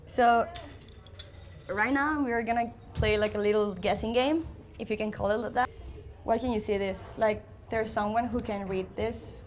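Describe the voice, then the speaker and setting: A woman's voice: fairly high pitch, normal speed, slight background noise. A woman on a design team, casually presenting a guessing game to an audience, with a lot of "like". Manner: casual, with frequent "like".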